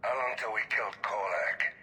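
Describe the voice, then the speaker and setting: A man voicing a cynical, hard-bitten mercenary in a video game, with a gruff, blunt manner. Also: fairly low pitch, normal speed, pushed and bright. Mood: irritated. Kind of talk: dramatic game dialogue. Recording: music behind the voice.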